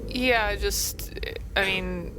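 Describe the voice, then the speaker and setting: A woman's voice: medium pitch, extremely slow, background noise. A woman, a tabletop game player, casually describing what her character does.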